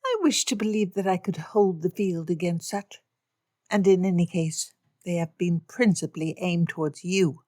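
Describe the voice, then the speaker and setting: Woman reading a calm, wry young lady. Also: on the low side, moderate pace, dry recording, studio quality, close to an everyday voice. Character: composed witty young woman, elder sister.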